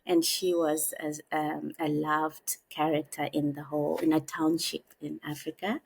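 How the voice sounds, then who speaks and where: Lady in her 40s, low voice, thinks out loud with lots of ums, podcast guest. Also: flat delivery, gravelly, a little hiss or hum. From Zimbabwe. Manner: reflective, unhurried, pauses with frequent "um". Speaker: guest, author and executive coach. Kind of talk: podcast interview, personal storytelling.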